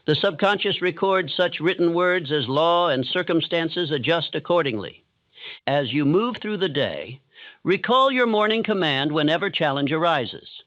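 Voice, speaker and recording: A man with a slightly high voice narrates a self-help audiobook meant for falling asleep. His delivery is calm, solemn and evenly measured. The sound is close and dry, though somewhat dull and lo-fi. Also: no echo. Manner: calm, steady, measured rhythm.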